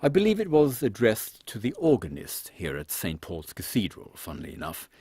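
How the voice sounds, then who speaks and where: A man playing a courteous, dutiful airship captain in an audio drama, speaking with formal military politeness in a husky voice. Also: fairly low pitch, huge pitch swings, moderate pace, mellow, no room sound, exaggerated voice. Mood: courteous, brisk.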